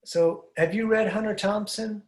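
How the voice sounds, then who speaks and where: An older man hosting a podcast, asking curious, rambling questions that often trail off, speaking into a studio mic on a boom arm while wearing headphones. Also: fairly even intonation, moderate pace. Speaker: podcast host. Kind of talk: casual conversational interview. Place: room at home with blinds, indoors. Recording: roomy echoey sound.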